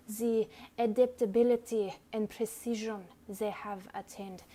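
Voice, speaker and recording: A woman reads an essay aloud as a dramatic performance, deliberate and theatrical, with pointed pauses. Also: mid-range voice, mellow. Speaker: reader. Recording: noticeable background noise.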